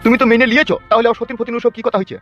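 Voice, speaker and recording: A man's voice: extremely high pitch, flat delivery, racing. A man playing a coarse, wheedling two-timing husband in a comic cartoon. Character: bickering, sweet-talking two-timing husband, foul-mouthed. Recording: muffled narrow-band audio over music.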